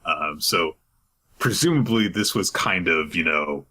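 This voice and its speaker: Deep husky man casually recapping. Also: animated, unhurried, sharp tone. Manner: chatty, laughs often, acts out quoted lines. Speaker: podcast co-host recapping manga. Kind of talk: casual chat, retelling chapter plot.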